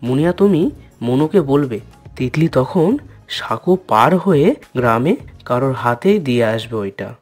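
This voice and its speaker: A man voicing a plucky, touchy animal friend in a fairy-tale cartoon, eager and quick to protest. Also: mid-range voice, lively intonation, soft background music, natural voice.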